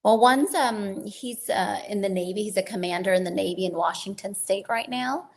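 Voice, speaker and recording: A woman's voice: medium pitch, normal speed, gravelly. A woman interview guest, talking in a rambling, laughing way about her early life. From born in the Philippines to Vietnamese parents. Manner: warm and laughing often, rambling restarts. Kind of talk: interview storytelling.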